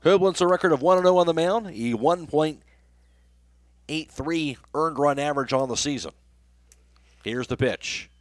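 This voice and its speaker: A man's voice: a little high, moderate pace, long pauses, no echo. Male baseball play-by-play announcer, dry sound. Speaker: radio play-by-play sports announcer. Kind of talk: baseball play-by-play commentary with read sponsor spots. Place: live radio broadcast from a ballgame.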